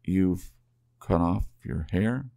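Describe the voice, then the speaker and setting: A man voicing a stunned, tender young husband, subdued and slow, in an ASMR storybook reading. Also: low voice, vocal fry, warm tone, no echo, pitched above the performer's own voice, heavily acted.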